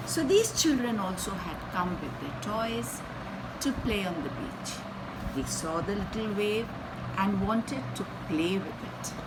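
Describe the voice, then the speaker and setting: A woman reading a picture book aloud to young children, expressively, pausing to ask them simple questions and explain things. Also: medium pitch. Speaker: children's storyteller and channel host. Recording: clear background noise.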